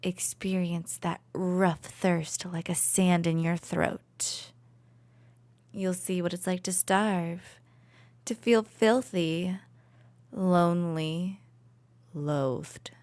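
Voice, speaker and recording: A woman playing a cruel, possessive royal villainess in an ASMR roleplay, condescending and taunting with soft, menacing asides. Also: lowish voice, steady tone, extremely slow, dry recording. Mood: bitter, menacing. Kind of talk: ASMR roleplay, dramatic monologue to listener.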